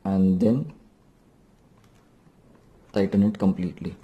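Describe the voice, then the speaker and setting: A man's voice: deep voice, takes it slowly, muffled recording. A man giving an unscripted hands-on tutorial, explaining step by step and repeating instructions for emphasis. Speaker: DIY vlogger.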